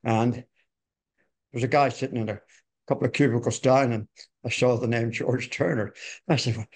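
An older man co-hosting a podcast, slightly hoarse from a cold, reminiscing in a rambling, folksy way with frequent restarts. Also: medium pitch, fairly even intonation, moderate pace, darkish tone. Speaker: podcast co-host. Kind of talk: conversational industry reminiscence.

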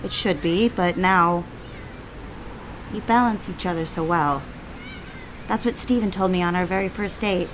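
A romantic, torn young woman, played by an actress, with a medium-pitched voice. She is candid, wry and slightly theatrical in an audio drama, over faint background noise. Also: close to an everyday voice. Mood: wry, reflective. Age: 20s. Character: conflicted, romantic young woman confiding in her father.

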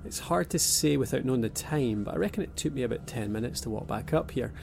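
A man playing a wry, gravelly young abductee. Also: medium pitch, animated, fast. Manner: dry, sardonic humour. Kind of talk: audio drama acting. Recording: music score underneath.

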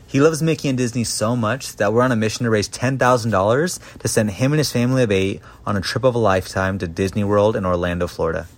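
A man's voice: lowish voice, fast talker, few pauses. A man reading a scripted charity appeal in an earnest manner.

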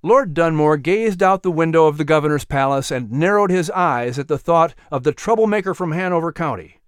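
A man's voice: a little high, lively intonation, fairly quick, clean tone, no room sound, clean studio sound. A man narrating a historical children's story in a dramatic, scripted audiobook style.

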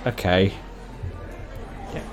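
A man's voice: soft background music, a faint crowd. Man as a hesitant, bemused dinner date. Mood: bemused, wary. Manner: halting, questioning, polite. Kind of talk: improvised comedy radio play.

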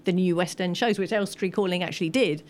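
A woman's voice: lowish voice, quick pace. A woman speaking as an expert guest in a casual film discussion, explaining things conversationally at a live event. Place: live post-screening event before an audience. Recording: clearly noisy live room recording.

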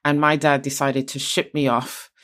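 A woman's voice: rumbling low, flat delivery, moderate pace, fairly bright tone. A woman from Barbados hosting a podcast, encouraging and enthusiastic toward her guest. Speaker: podcast host. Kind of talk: casual interview.

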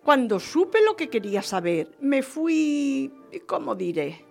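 A woman's voice: high-pitched, sing-song, slow, gravelly, darkish tone, soft background music, exaggerated voice. A woman playing an exuberant, vengeful French marquise in radio theatre, theatrical and gushing, repeating phrases for emphasis. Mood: conspiratorial, indignant. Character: exuberant, scheming, vengeful French marquise, gleeful about her marriage. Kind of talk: radio theatre acting of a period story.

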